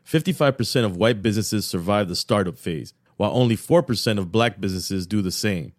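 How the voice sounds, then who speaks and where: A man voicing a scripted advertisement in a measured, earnest manner. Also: lowish voice, no room sound, clean studio sound.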